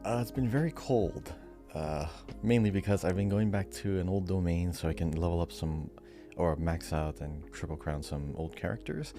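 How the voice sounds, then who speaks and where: A man's voice: sits low, normal speed, music in the background. A man co-hosting a podcast in casual chat, explaining things in a rambling, loosely detailed way. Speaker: podcast co-host.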